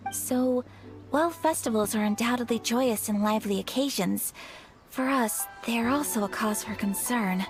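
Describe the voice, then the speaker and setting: Brief: woman as a refined young noblewoman, formal and soft-spoken, high voice, faint music behind. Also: lightly acted. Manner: formal, gracious, softly spoken. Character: poised young noblewoman, polite and reserved. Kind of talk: game voice acting. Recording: game audio with faint background music.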